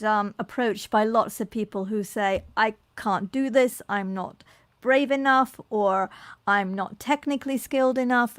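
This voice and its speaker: A woman's voice: on the high side, no echo. A woman hosting a live online panel, effusive, with frequent restarts. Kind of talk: enthusiastic live-stream moderating.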